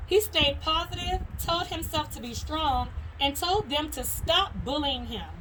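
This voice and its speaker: A woman's voice: high voice, unhurried, background noise. A woman reading a children's story aloud in the manner of a schoolteacher.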